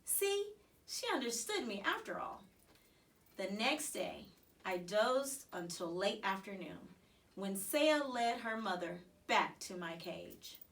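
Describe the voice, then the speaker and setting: A woman reading a children's storybook aloud in an expressive, narrated style. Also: mid-range voice, slow pace, far from the mic. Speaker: storybook reader.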